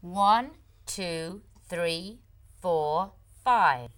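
A woman's voice: lowish voice, very slow, fairly bright tone. A woman co-hosting a bilingual English lesson, playful and teasing with her partner as she models English phrases. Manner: playful, teasing banter with co-host. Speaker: language teacher co-host.

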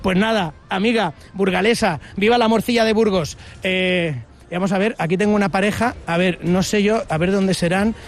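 A man's voice: high-pitched, steady tone, moderate pace. A man, interviewing people on a busy street as a chatty, joking radio reporter. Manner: chatty, joking, many fillers. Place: outdoors on a busy city street.

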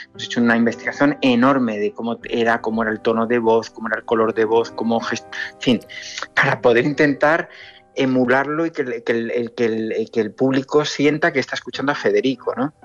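A film director from Andalusia answers interview questions in a mid-pitched voice. He talks thoughtfully and often restarts his sentences. The sound is dull and lo-fi, with faint music underneath. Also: a bit bright. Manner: thoughtful, with frequent restarts and fillers.

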